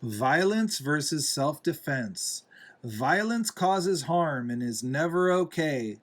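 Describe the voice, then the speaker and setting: Slow-reading father with a deliberate, instructive delivery. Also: fairly high pitch, lively intonation, a bit bright. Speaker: father reading a picture book aloud to a child. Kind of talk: read-aloud storybook narration with asides to a young child.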